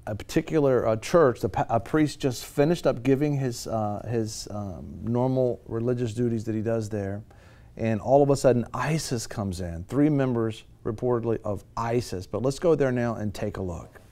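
A man's voice: mid-range voice, normal speed, warm tone. A middle-aged American man hosting a news show and giving commentary in a studio.

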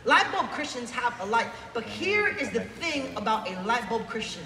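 A man's voice: extremely high pitch, big pitch swings, moderate pace, bright, forceful tone. A young adult man preaching a sermon, calling for responses and repeating key phrases, heard from the audience. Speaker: youth preacher. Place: large convention hall stage, heard from the audience. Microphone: handheld mic through PA, phone in crowd. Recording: echoey hall, distant phone capture.

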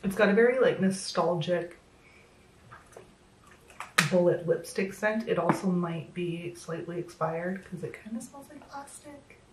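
A woman's voice: fairly low pitch, a little slow, noisy background. Chatty woman losing her voice, roomy echo. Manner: chatty, self-deprecating, filler-heavy. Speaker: makeup vlogger. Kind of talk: casual makeup tutorial chat. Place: small home room, indoors. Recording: roomy echo, a bit distant from the mic.

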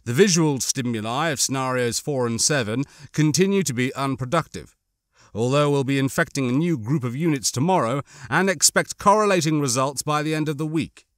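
A man's voice: medium pitch, lively intonation, fairly quick, no room sound, studio quality. A man narrating a horror novel as an audiobook, reading a dramatic scripted story.

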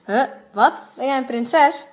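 Woman as a small, hesitant gang defector, high-voiced. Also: unhurried. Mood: fed up, surprised. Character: small, weak, hesitant gang defector Samuel, a young male. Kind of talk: amateur Dutch radio drama acting.

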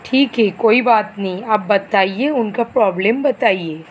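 A woman voicing a stern, blunt male doctor in an animated moral story, formal and firm. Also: mid-range voice, a little slow, breathy edge. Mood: businesslike.

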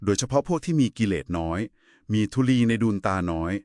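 Man explaining with a lively, varied pitch. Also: a little fast, dry recording, studio quality. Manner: polite, didactic. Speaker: explainer in a two-person discussion. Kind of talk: conversational explanation of Buddhist scripture.

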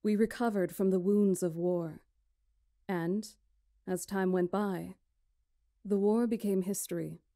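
Stately, mellow-voiced magician woman played by an actress. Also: mid-range voice, steady tone, no room sound, clean studio sound, lightly acted. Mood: grave, formal. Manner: measured, formal, solemn delivery. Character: stately female magician elder recounting ancient history to lords. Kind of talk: visual novel character acting, storytelling.

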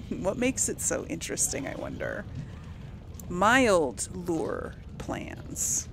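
Slow-paced woman doing game commentary. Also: fairly low pitch, huge pitch swings, gravelly, noisy background. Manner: thinks aloud, musing to herself. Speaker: gaming YouTuber. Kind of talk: casual let's play commentary.

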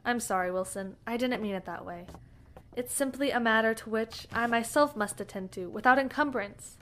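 A woman's voice: on the high side, normal speed, fairly bright tone, a little hiss or hum, lightly acted. A woman playing a headstrong young lady from a rich family, speaking formally and insistently in a western audio drama. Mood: urgent, insistent. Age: young adult.

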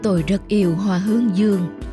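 A woman's voice: mid-range voice, steady tone, a little fast, over music, close to an everyday voice. A woman voicing a sorrowful, withdrawn old widower in a dubbed cartoon. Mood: tender. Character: grieving old bearded widower father. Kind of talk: cartoon dubbing.

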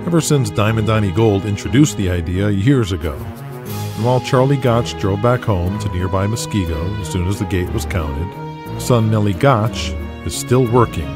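A low-voiced American man narrates a scripted audio drama. His delivery is dramatic storytelling, and music plays behind him. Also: normal speed.

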